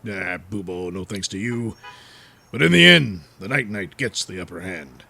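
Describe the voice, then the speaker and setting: A man playing a brooding, self-important and bungling costumed vigilante with halting delivery in a comedic superhero audio serial. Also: on the low side, animated, vocal fry, pushed and bright, husky, full character voice. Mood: smug.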